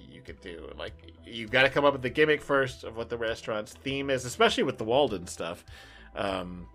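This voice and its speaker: A man's voice: mid-range voice, a bit bright. A man speaks as a podcast co-host in a casual, conversational style. He feels his way through his thoughts hesitantly, and faint music plays behind his voice. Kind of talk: casual chat.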